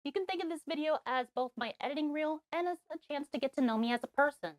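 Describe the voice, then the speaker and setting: High-pitched voice reading a scripted reel. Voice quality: high-toned voice. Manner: read aloud, measured and scripted. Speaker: job seeker reading an editing reel. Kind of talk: recorded self-introduction and slate reading.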